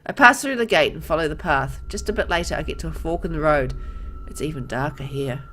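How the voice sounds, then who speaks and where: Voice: medium pitch, animated, brisk, sharp tone, poor audio. Casual gamer reading aloud over music. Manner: informal, reacts to the story while reading. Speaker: gamer, let's player. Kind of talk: reading visual novel aloud with casual commentary.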